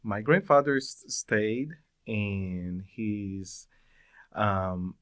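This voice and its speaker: A low-pitched man in his forties with a Brazilian Portuguese accent, speaking as an interview guest. He tells his story in a relaxed conversational way, pausing often and filling gaps with small hesitations. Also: smooth. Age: 40s. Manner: thoughtful, many pauses and fillers.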